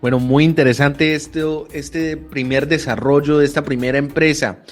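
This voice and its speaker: A man hosting an interview, asking long, measured questions. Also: on the high side, steady tone, soft background music. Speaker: interview host.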